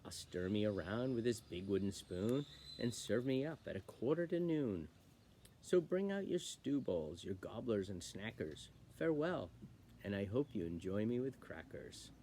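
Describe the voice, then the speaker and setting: Man as cheerful silly cook, comic storybook verse, animated delivery, faint noise. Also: on the low side, moderate pace. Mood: cheerful, matter-of-fact. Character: cheerful daft cook making himself into stew. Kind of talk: comic poem performance.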